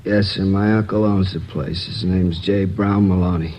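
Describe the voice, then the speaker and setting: An actor playing a shaken married man recovering in hospital after a robbery, talking in a halting, hesitant way. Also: low-pitched, fairly even intonation, a little mellow, natural voice. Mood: weak, uneasy. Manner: hesitant, halting, stumbles over words. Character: shaken married male robbery victim, bedridden. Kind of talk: 1950s radio drama acting. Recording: dull old radio recording with faint hiss.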